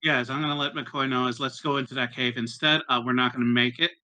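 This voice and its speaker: A man's voice: mid-range voice, fairly even intonation, fast talker, bright, forceful tone, dry recording. A man playing a tabletop roleplaying game, chatting casually about his moves.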